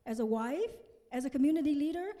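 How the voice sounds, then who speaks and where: A woman in her fifties with a Vietnamese accent delivers a motivational conference talk in a high voice. She is earnest and speaks directly to her listeners, and the words ring through a large, reverberant hall. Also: lively intonation, quick pace, dark tone. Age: 50s. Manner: earnest, addresses the audience with questions. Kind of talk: motivational conference speech with personal story.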